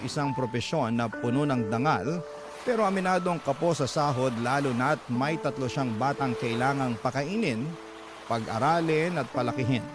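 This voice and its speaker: Man narrating over clear music. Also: medium pitch. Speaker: narrator of a listener's letter. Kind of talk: dramatic scripted narration.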